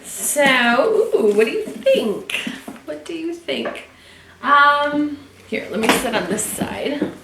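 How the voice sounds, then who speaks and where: A woman's voice: high voice, lively intonation, slow, bright, forceful tone. A woman from Michigan chatting casually to viewers while unboxing and reviewing a leather tote at home. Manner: chatty, talks to viewers while handling the bag. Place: home room. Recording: echoey room with faint noise.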